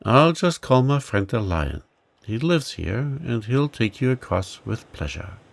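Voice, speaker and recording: A man voicing a small, kindly, helpful mouse in a storybook reading, speaking chirpily and briskly. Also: mid-range voice, sing-song, gravelly, exaggerated voice. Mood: eager.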